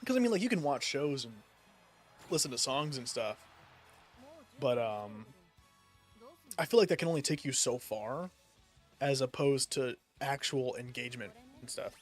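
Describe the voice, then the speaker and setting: A man's voice: medium pitch, lively intonation, normal speed, long pauses, faint music behind. A young man from the United States chatting casually while gaming, rambling with lots of fillers and casual swearing. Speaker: streamer.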